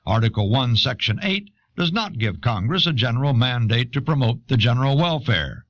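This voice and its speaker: A man reading scripted historical narration in a measured, authoritative manner. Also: mid-range voice, expressive, fairly bright tone, dry recording. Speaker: documentary narrator. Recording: dull old audio cassette.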